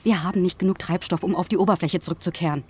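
A woman's voice: fairly low pitch, rapid-fire, darkish tone, husky. An actress playing a blunt, pragmatic woman astronaut in an audio drama, speaking firmly and matter-of-factly. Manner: firm, matter-of-fact. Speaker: crew member.

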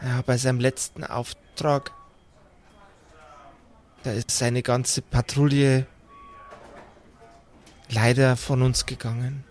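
A man plays a sweet old granny for an improvised tabletop audio game. She is doting and a little worried, speaking in gentle, courteous, old-fashioned phrases. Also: mid-range voice, flat delivery, takes it slowly, pauses often, natural voice. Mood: worried, mournful. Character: kindly old grandmother with a walker, doting, worried.

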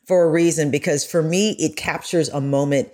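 A woman with a distinctly low voice speaks as a podcast guest. Her delivery is conversational, earnest and emphatic, giving her own personal take. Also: steady tone, moderate pace, mellow.

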